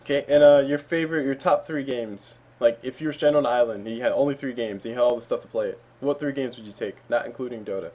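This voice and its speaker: A man's voice: mid-range voice, fast talker, few pauses, a little mellow. A man hosting a casual interview, chatty and joking, laughing often. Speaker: interview host.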